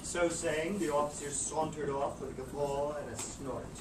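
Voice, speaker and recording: A man's voice: a little high, fairly even intonation, normal speed. A man reading a novel aloud as narrator, with arch, florid, theatrical delivery. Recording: noisy, echoey room from far off.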